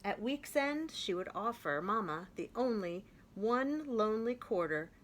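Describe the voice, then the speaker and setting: Woman reading a kids' book out loud, gentle and expressive, faint hum behind. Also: medium pitch, unhurried. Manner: gentle, expressive, clear storytelling. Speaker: children's story reader. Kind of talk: reading a picture book aloud.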